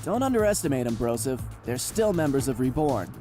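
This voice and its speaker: A man playing an analytical young mech pilot in a video game, measured and explanatory, with music behind. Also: medium pitch, big pitch swings, dark tone, natural voice. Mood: cautioning. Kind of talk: anime-style game voice acting. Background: game background music.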